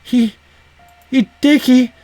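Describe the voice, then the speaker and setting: Man putting on a high-pitched, frightened girl. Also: fairly even intonation, slow pace, dark tone, soft background music. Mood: shocked, crying out. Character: frightened, pleading teenage girl held hostage.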